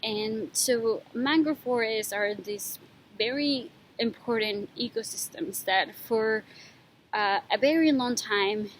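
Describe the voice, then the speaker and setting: A young woman from Ecuador, a graduate student, explaining her research in a relaxed, thoughtful way, pausing often with fillers. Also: on the high side, a little hiss or hum, rough recording. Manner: thoughtful, with frequent pauses and fillers. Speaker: PhD student. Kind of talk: informal talk about her research.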